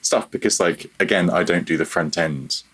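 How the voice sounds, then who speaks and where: Very low-voiced, slightly husky man. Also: moderate pace, a bit bright. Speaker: podcast guest, freelance audio programmer. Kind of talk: casual interview chat.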